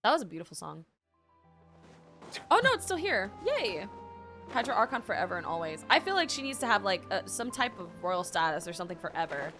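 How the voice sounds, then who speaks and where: A woman streamer giving casual, expressive and gushing reactions while playing a game. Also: medium pitch, brisk. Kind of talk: casual gaming reaction commentary. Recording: game music behind.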